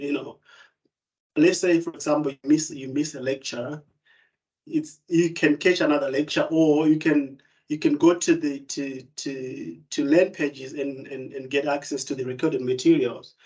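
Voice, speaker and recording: Halting young man from Zimbabwe. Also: fairly high pitch, a little mellow, highs cut off. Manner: hesitant, many fillers and repeated words. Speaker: student rep. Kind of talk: informal spoken testimonial.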